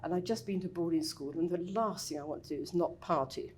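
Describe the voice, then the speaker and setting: An older woman with a southern English accent speaks in a lowish, relaxed voice. She tells her story as a podcast guest, matter-of-fact and easy, with quick yeps and small restarts. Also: slightly rough. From England. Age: 60s. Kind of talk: casual interview.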